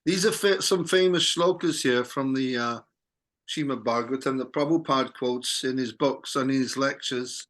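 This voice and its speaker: Bright-voiced man leading a study group. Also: mid-range voice, normal speed. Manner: conversational, asks the group questions. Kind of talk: casual scripture discussion.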